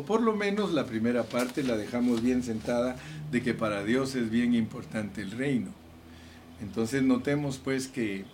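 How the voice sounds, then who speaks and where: A man's voice: medium pitch, darkish tone, slight background noise. A man preaching a sermon with fervor, calling his listeners brothers and reading scripture aloud. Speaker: pastor.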